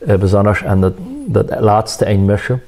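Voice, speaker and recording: Quiet reflective musician guy, kinda low and warm, talking about faith and his past. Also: steady tone, moderate pace. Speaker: musician guest. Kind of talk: telling his life story.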